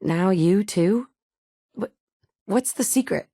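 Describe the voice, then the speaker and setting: A woman's voice: medium pitch, animated, slow, warm tone, no room sound, clean studio sound. A woman voicing a tough, blunt female survivor in a game, with curt, commanding lines. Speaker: player character. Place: recording studio. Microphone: close studio mic.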